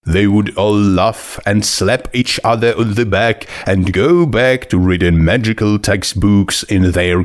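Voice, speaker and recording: Unhurried low-voiced male story narrator. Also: no echo. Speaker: narrator of a fan fiction reading.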